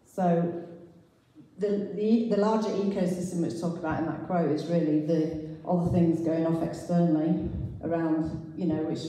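A woman's voice: low-pitched, fairly even intonation, moderate pace, warm tone, a little hiss or hum. A middle-aged woman giving an informal, explanatory sermon with scripture readings as a church speaker in a large hall. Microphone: handheld mic through speakers, picked up by distant camera. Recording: very echoey hall, far from the camera.

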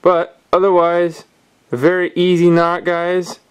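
A man calmly explaining step by step how to tie fishing knots, talking while his hands work at a table indoors. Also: high voice, measured pace, clean tone. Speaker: fishing instructor. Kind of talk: hands-on knot-tying tutorial.

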